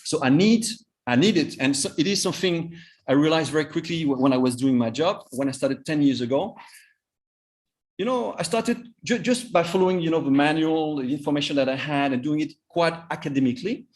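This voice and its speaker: A man in his fifties with a French accent, whose first language is Vietnamese, giving a master class as a cross-cultural coach, halting and often repeating his words. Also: fairly high pitch. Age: 50s. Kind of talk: live online master class talk.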